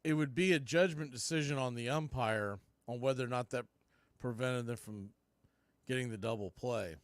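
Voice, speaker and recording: A man calling live baseball play-by-play in punchy short phrases, getting excited on big plays. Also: mid-range voice, normal speed, no echo.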